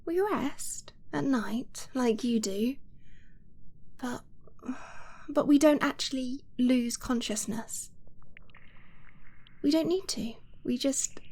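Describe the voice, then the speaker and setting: A soft, fairly high-voiced dragon girlfriend, played by a woman in an intimate ASMR roleplay. She speaks very slowly and breathily, often near a whisper. Her delivery is hesitant, affectionate and earnest, as if shyly confessing to someone she loves. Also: exaggerated voice. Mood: shy, dreamy. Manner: hesitant, earnest, slow with halting pauses.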